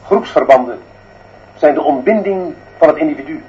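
An actor playing a stern, dictatorial school director in a radio drama, declaiming in emphatic, measured phrases. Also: male voice, on the high side, lively intonation, lightly acted. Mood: cold, doctrinaire. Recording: slightly dull, muffled sound.

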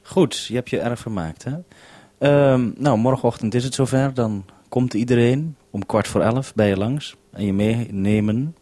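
A man's voice: mid-range voice, normal speed, dark tone, slight background noise. A male radio presenter in a casual, businesslike radio-contact conversation, ending turns with "over". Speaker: radio presenter at the base station.

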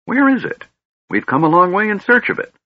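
A man voicing a befuddled old male wizard, absent-minded yet shrewd, with a high, quavering, wistful manner in an audiobook. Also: wildly swooping pitch, fairly quick, exaggerated voice. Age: older. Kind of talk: audiobook character voice. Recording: dull, slightly lo-fi recording.

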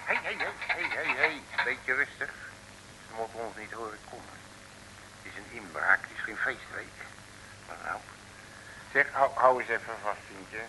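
An actor playing a grumpy, bungling detective turned burglar, muttering nervous orders in a vintage Dutch radio comedy. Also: male voice, on the low side, expressive, normal speed, long pauses. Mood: bossy, hushed. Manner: nervous, bossy, muttering grumbler. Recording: old radio recording with faint noise.

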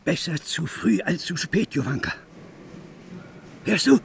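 A man playing a fussing, anxious character in a 1950s radio drama, with a husky, creaky voice. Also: a little high, animated, unhurried, slight background noise. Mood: fussing, expectant.

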